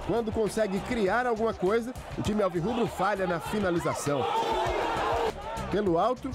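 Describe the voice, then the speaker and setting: High Brazilian male voice over loud music. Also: moderate pace, over a noisy crowd. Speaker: sports reporter. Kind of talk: scripted match report narration.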